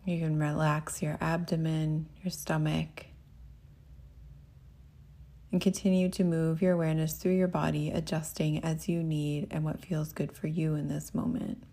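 A woman with a low voice leads a guided meditation as the teacher. She speaks gently and without hurry, giving soothing instructions. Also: little pitch movement, a little slow.

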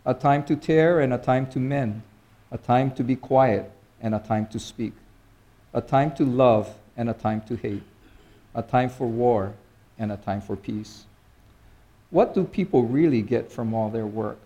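A middle-aged man preaching an informal sermon in a halting, conversational way, with many fillers and restarts. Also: mid-range voice, warm tone. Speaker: pastor.

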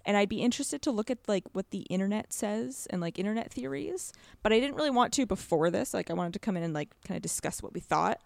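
A young woman chatting casually as a podcast guest about a video game, rambling in an animated way and often saying "like". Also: medium pitch, fast, creaky, hardly any pauses, no room sound. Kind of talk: casual chat.

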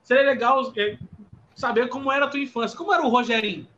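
A man's voice: extremely high pitch, fairly even intonation, sharp tone. A man with a Carioca accent co-hosting a live stream, joking and teasing the guest. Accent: Carioca Portuguese. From Rio de Janeiro, Brazil. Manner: joking, quick to laugh. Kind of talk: casual chat, teasing. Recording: dull lo-fi stream audio with faint noise.

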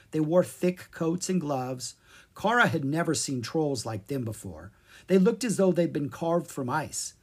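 A woman narrates a children's storybook in a low, slightly raspy voice. Her delivery is expressive and lively, with pitch that moves freely through the sentences. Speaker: storyteller reading a children's book.

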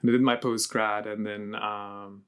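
A man's voice: lowish voice, little pitch movement, measured pace, fairly bright tone, clear, resonant tone. A man in his thirties from Lebanon, a university lecturer, chatting as a guest and laughing easily. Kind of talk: casual interview chat.

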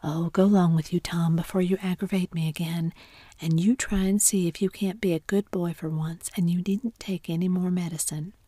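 A woman whispering the lines of a stern but soft-hearted old aunt in a storybook reading. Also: on the low side, steady tone, moderate pace, dry recording, natural voice. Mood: softened.

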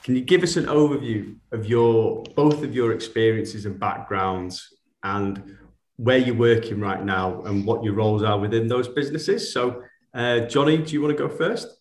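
A man's voice: medium pitch, normal speed. A man hosting a panel interview, introducing guests and putting questions to them in an echoey room. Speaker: interview host. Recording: roomy, echoey sound.

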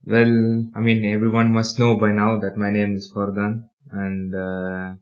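Young man from the UAE, low steady voice, halting filler-heavy delivery, dull lo-fi audio. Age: young adult. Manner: hesitant, many fillers and restarts. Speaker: podcast co-host and interviewee. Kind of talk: casual interview.

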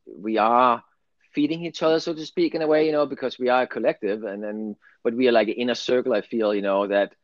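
A man's voice: medium pitch, fast, no echo, lo-fi sound. A middle-aged man from Denmark with a Danish accent, talking in an earnest, rambling way in a casual spiritual conversation. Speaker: guest, spiritual channel.

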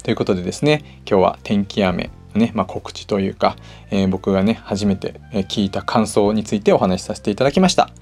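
A man with a fairly low voice talks quickly over background music. He hosts his own show in a casual, chatty way, speaking straight to listeners. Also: darkish tone, husky. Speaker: host of a personal audio show. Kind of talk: casual solo talk to listeners. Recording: music playing behind the voice.

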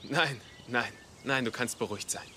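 A man playing a young, earnest investigator in a German TV drama dub, speaking standard German in a gentle, reassuring manner. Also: mid-range voice, moderate pace, noisy background, lightly acted. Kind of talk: dubbed TV drama dialogue.

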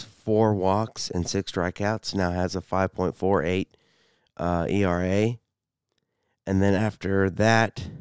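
A man hosting a solo sports podcast, a bit congested, talking haltingly with many fillers. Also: sits low, a little mellow, clear, resonant tone, dry recording, studio quality. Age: 30s. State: congested, slight cough. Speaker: podcast host.

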